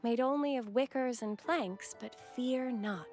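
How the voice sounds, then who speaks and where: Woman voicing a gentle, high-voiced young acolyte. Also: close to an everyday voice. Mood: solemn, reverent. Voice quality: pleasant, clearly enunciated. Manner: formal, measured storytelling. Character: gentle young female acolyte guiding visitors, devout and courteous. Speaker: game character, guide. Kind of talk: scripted game dialogue and legend narration. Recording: background music under voice.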